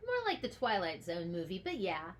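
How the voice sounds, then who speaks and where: A woman's voice: fairly low pitch, huge pitch swings, normal speed, slight background noise. A woman voicing an earnest, stubborn school boy in a storybook, speaking in a challenging way. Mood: matter-of-fact. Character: defiant, earnest school boy, aspiring horror writer.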